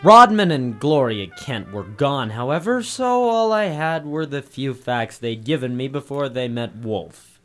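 An actor playing a brash, wisecracking detective's assistant and narrator, breezy and cheeky, in a 1940s radio drama. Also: male voice, mid-range voice, expressive, measured pace, a bit bright, faint music behind. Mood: wry narration.

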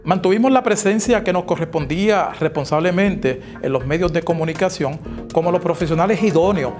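A middle-aged man with a Puerto Rican accent delivering a scripted, formal address in a measured manner. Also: high voice, darkish tone. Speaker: president of an engineers' association. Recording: music playing behind the voice.

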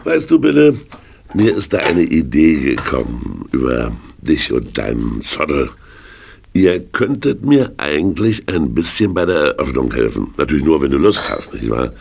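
A very low, husky voice with big swings in pitch belongs to a jovial older uncle. He is a hearty shop owner with a scheme, emphatic and kindly, in a children's radio play. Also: big pitch swings, normal speed, a little mellow, heavily acted. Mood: persuasive. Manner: hearty, chuckling, emphatic with tag questions. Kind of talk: 1980 German children's radio play acting.

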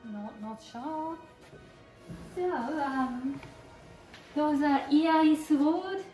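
Lady showing round a craft shop, high voice, roomy sound from off-mic, music behind. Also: mellow, recorded from a distance. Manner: slow, careful, admiring. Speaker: shop tour guide. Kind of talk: walking shop tour. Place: large indoor craft shop. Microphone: camera at a distance.

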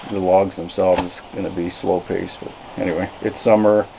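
A man in his fifties explaining casually to camera, unhurried and thinking aloud as he goes. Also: sits low, flat delivery. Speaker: vlogger, cabin builder. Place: outdoors in a forest, open timber frame. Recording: clear background noise outdoors.

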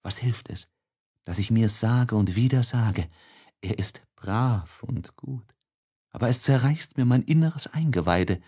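A man voicing a passionate, tormented young man in an audiobook, speaking slowly in hushed anguish. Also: mid-range voice, breathy edge. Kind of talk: literary audiobook monologue.

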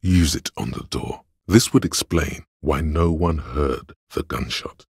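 The cool inner voice of logic in a video game. The voice is exceptionally low and very breathy-husky, recorded close and dry. It reasons in a deliberate, measured way, with a sardonic, analytical edge. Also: male voice, dry recording, exaggerated voice. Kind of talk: scripted game narration.

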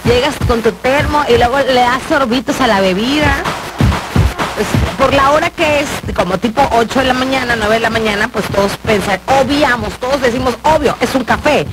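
High-voiced Mexican woman hosting radio. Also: normal speed, rough recording. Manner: colloquial, jokey, chatty. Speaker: radio host. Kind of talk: casual live radio chat, reading listeners' messages. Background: loud music under the voice.

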